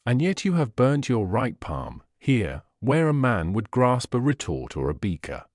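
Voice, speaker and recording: A man voicing a coolly analytical Victorian gentleman detective in an audiobook, speaking in a precise, erudite, dry manner. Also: medium pitch, sing-song, measured pace, dry recording. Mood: cool, probing. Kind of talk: audiobook character voice.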